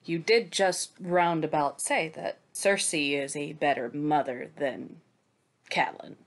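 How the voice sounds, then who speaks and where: A woman's voice: low-pitched, unhurried. A woman in a casual book discussion, speaking wryly and quoting others expressively. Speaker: book read-along panelist.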